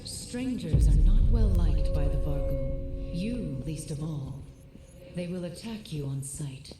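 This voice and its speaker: An actress playing a wise spirit guide and mentor in a video game, with grave, measured, instructive delivery. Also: female voice, low voice, animated. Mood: firm, instructive. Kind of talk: video game exposition acting. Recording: reverberant voice under loud game music.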